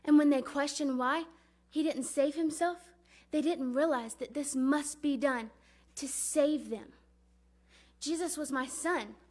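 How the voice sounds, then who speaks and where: A woman doing a devout mom remembering her son, high pitched, earnest n reverent, stage monologue, grieving but faithful. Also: darkish tone. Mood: pained, earnest. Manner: earnest, reverent, deliberate storytelling. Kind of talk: dramatic religious monologue performance.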